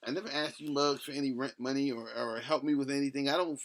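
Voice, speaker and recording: A man's voice: medium pitch, fairly even intonation, fast. A middle-aged man with a lisp delivers a rambling, blunt opinion rant, cursing and breaking off mid-thought. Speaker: solo video blogger.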